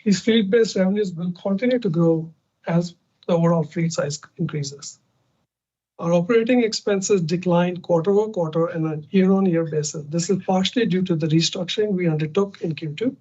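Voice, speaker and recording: A man's voice: high-pitched, a little fast, a little mellow. A man working as a company finance executive, reading a scripted earnings report on a conference call. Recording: dull band-limited conference call audio.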